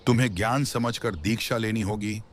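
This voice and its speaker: Low, solemn saint played by a man. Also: fairly even intonation, a little fast, a little hiss or hum, lightly acted. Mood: instructive. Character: stern, solemn saint instructing seekers. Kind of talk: cartoon fable dubbing.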